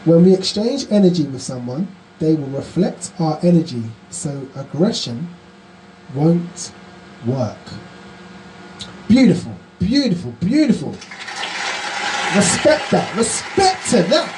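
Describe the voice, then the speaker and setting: A male radio show host talking live, excitable and exclamatory, often repeating his phrases. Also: a little high, takes it slowly, pauses often, a little hiss or hum, rough recording.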